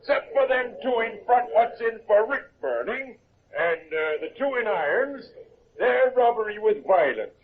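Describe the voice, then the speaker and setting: An actor playing a rough naval underling with a British working-class accent and a husky voice in an old-time radio drama. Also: male voice, high voice, sing-song, normal speed, slight background noise. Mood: deferential. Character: rough, bullying but deferential naval underling. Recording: muffled, narrow old radio recording.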